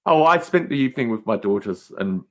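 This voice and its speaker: A man's voice: mid-range voice, expressive, a little fast. A middle-aged man in casual conversation, telling stories in an emphatic, rambling way and often repeating words for stress. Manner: emphatic, repetitive, rambling storyteller. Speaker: podcast host appearing as guest. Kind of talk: casual chat.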